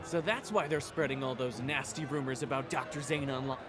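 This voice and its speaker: An actress playing a worried, gossiping university student in a video game. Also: female voice, rumbling low, steady tone, brisk. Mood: concerned, gossipy. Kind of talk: scripted game voice acting. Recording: distant voice amid clear background noise.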